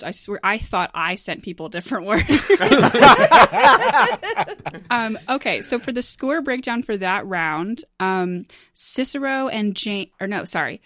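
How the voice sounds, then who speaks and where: A woman hosting a game show among friends, casual and upbeat and quick to laugh. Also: medium pitch, sharp tone.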